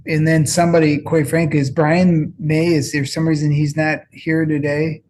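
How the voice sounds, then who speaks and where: A man's voice: on the high side, flat delivery, normal speed, darkish tone. A man serving as judge, thinking aloud and speaking plainly from the bench. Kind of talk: courtroom ruling.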